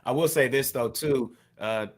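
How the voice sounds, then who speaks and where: A man talking as a podcast co-host, explaining things carefully and haltingly in a casual panel discussion. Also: medium pitch.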